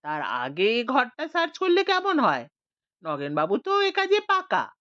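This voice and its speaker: A woman voicing a calm, reasoning middle-aged village man in a Bengali audio story, speaking in a measured, persuasive way. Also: a little high, no room sound, muffled recording, full character voice.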